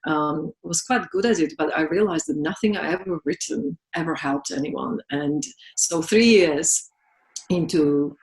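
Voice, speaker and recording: A middle-aged woman with a Slovak accent talks in a fairly low, chatty voice, full of fillers and little laughs. She speaks English as a second language, and her phrasing shows it. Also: animated, a little slow, creaky, poor audio. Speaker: guest, massage therapist and business owner. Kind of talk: casual interview chat.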